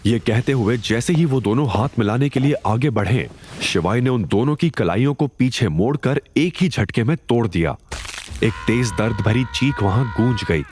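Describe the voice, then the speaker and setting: A man's voice: medium pitch, lively intonation, moderate pace, a little mellow, faint music behind. A man narrating a scripted audio drama in a dramatic storytelling style.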